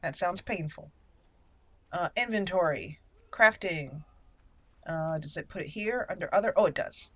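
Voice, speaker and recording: A woman's voice: fairly low pitch, measured pace, long pauses, no room sound. A woman giving casual gameplay commentary, rambling and thinking aloud as she plays. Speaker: gameplay host.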